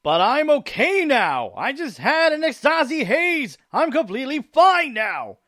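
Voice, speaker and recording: A man voicing an excitable male doctor stuck in a hospital bed, in a fan audio drama. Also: very high voice, measured pace, no room sound, studio quality, heavily acted.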